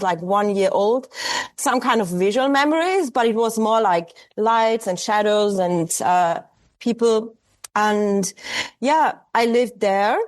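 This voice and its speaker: Austrian woman, forties, artist guest, German accent, hesitant and reflective, higher-pitched. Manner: thoughtful, hesitant, many fillers and restarts. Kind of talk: reflective interview conversation.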